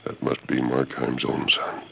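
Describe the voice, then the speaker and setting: Masked western hero, bass and gravelly, stern authority, muffled vintage radio sound. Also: male voice, monotone, measured pace, heavily acted. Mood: watchful. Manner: firm, authoritative. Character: masked heroic western lawman, stern and commanding. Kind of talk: 1940s radio western acting. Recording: muffled 1940s radio recording, hiss.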